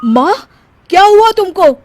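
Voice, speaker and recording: A woman's voice: very high-pitched, lively intonation, slow, darkish tone, no room sound, voice pushed up, heavily acted. A woman voicing a devoted young adult son with an anxious, pleading manner in a family story.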